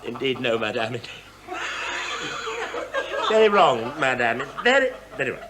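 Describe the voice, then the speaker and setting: Wildly expressive, bossy male theatre director. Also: medium pitch, unhurried, very noisy. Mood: amused. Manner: condescending, exclamatory, emphatic. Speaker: actor playing a stage director. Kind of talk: theatrical radio drama acting.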